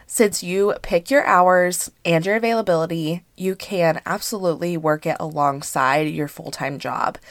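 Woman, 30s, podcast host; hoarse and a bit stuffy; lowish pitch, dry close sound, chatty and relaxed. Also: no room sound. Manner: chatty, friendly, frequent "um" and "like". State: hoarse and congested from allergies. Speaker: podcast host and business coach. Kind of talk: casual solo podcast chat.

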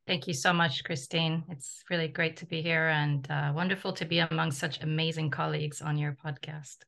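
A woman's voice: low-pitched, steady tone, moderate pace, vocal fry, a bit bright. A woman travel journalist whose first language is French, grew up in the Ivory Coast, and answers interview questions reflectively with many ums and uhs.

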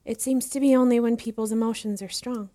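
A woman's voice: fairly high pitch, fairly even intonation, a little fast, mellow, lightly acted. An actress playing an eager, deferential young female student in an audio drama, speaking in an earnest, inquisitive way. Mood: hesitant, confiding. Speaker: voice actor in a fiction podcast. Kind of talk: acted audio drama dialogue.

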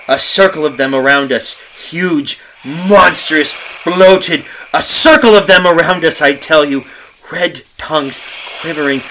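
A male actor plays a terrified, guilt-ridden man telling his own horror tale in a radio play. His voice is bright and forceful, sitting a little high. He speaks slowly and melodramatically, circling back over phrases in haunted desperation. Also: slight background noise, poor audio. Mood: horrified. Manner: melodramatic, halting with repeated phrases. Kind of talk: horror radio play acting, first-person narration.

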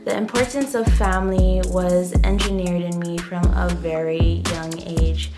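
A woman from Hawaii, hosting her own podcast, talking slowly and gently with many ums and pauses. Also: on the low side, flat delivery. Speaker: podcast host. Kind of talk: casual solo podcast monologue. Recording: loud background music.

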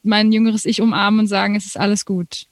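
A woman's voice: medium pitch, fast talker, dry recording, clean studio sound. A woman in her twenties, a musician being interviewed, talking casually with frequent laughs and fillers. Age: 20s. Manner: animated, laughs often, many fillers. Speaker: musician guest.

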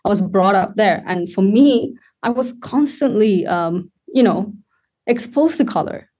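Woman, young adult, from Sri Lanka, podcast guest, reflective, hesitant fillers, mellow tone. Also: medium pitch, lively intonation, a little slow, slightly rough. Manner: thoughtful, searching for words with many fillers. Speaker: guest, wedding photographer. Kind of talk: casual podcast conversation.

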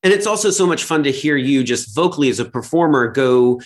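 A man's voice: medium pitch, animated, a little fast. A man hosting an interview, conversational and engaged, offering detailed questions and commentary.

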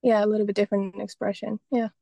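A young woman from Estonia talks about her work in a relaxed, rambling way, full of fillers and restarts. Her voice is warm and mellow, and it sounds close and dry, with no room sound. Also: mid-range voice, quick pace, gravelly, no room sound. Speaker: guest, painter and photographer. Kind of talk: casual interview talk.

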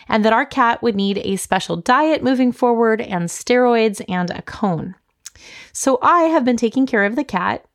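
A middle-aged woman hosting a personal podcast monologue, her voice a little raspy and scratchy from a mild head cold. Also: medium pitch. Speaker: podcast host and coach.

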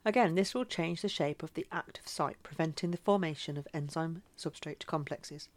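A woman's voice: low-pitched, normal speed. A woman co-hosting an educational podcast, reading notes and then chatting informally. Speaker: podcast co-host. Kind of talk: casual educational podcast chat.

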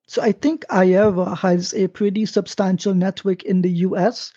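Mellow, husky man on a dull connection. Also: high-pitched, steady tone, normal speed, dry recording. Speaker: panelist answering callers' questions. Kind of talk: informal advice on a live stream. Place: online stream. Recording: dull, lo-fi online call.

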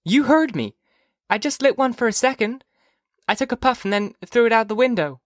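Narrator voicing an earnest, high-pitched little girl. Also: expressive, normal speed, no room sound, clean studio sound, lightly acted. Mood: matter-of-fact. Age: child figure. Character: young girl, earnest, blunt, chatty kid sister. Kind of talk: audiobook character dialogue.

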